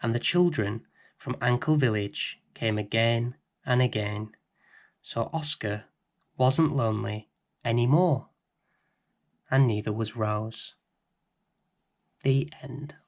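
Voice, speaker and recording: A man reading a children's bedtime story aloud as host, in an expressive, dramatic, theatrical manner. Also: mid-range voice. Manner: dramatic, theatrical, deliberate phrasing.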